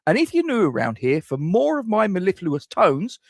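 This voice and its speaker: Lively, wry fan host. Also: male voice, high voice, normal speed, vocal fry, dry recording, studio quality. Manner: wry, self-mocking jokes. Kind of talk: scripted transfer rumour commentary.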